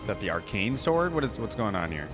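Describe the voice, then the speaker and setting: A man's voice: on the low side, big pitch swings, quick pace, music in the background. A man hosting a reaction video, speaking informally as he comments and reads along. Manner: informal, thinking aloud. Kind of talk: casual reaction commentary mixed with reading a story aloud.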